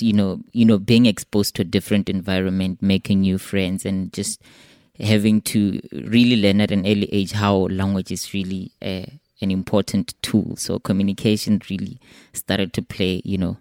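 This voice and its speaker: A man's voice: low voice, darkish tone, no room sound, clean studio sound. A man from South Africa, a native Sepedi speaker with a South African accent, explaining his work discursively in an interview. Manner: discursive, frequent 'you know'. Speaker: artist, podcast guest. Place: gallery space.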